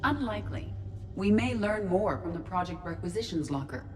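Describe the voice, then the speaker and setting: A woman voicing a calm ship artificial intelligence in a video game, speaking in a measured, formal, analytical way. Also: medium pitch, over music, close to an everyday voice. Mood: measured, matter-of-fact.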